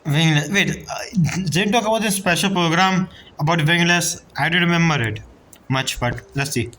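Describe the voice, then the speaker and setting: A man giving casual let's-play commentary as a game streamer, chatty and informal, with halting phrasing. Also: fairly high pitch, pushed and bright, slight background noise, rough recording. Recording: game music behind the voice. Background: game music.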